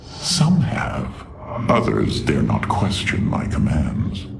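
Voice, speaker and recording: An actor playing a menacing, arrogant alien warlord in a video game, gravelly, haughty and contemptuous. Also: male voice, wildly swooping pitch, takes it slowly, noisy background, exaggerated voice. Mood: haughty menace. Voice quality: very deep, gravelly. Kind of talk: dramatic video game cutscene acting. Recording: loud game score behind. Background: loud cinematic music.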